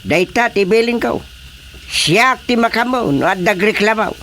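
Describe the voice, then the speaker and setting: A man's voice: sits high, expressive, measured pace. An actor with a Filipino accent playing a bossy, exasperated older village chairman in a radio comedy drama. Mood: irritated, bossy. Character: grumbling, bossy male village chairman, a father.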